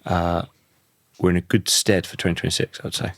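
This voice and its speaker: Casting: croaky man in his thirties, low voice, thoughtful and halting, dry close-miked sound. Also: flat delivery, fairly bright tone, no room sound. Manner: thoughtful, restarts sentences often. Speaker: podcast guest. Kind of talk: reflective conversational interview.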